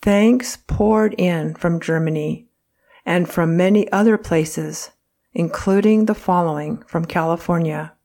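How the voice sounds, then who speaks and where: A woman reading a historical account aloud as narrator, in a measured, earnest way. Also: lowish voice, mellow. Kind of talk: scripted narration.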